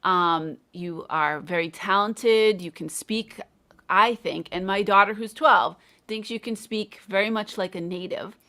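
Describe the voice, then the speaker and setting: A woman in her thirties hosting a live online interview, speaking in an encouraging, measured way. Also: mid-range voice, sharp tone. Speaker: host.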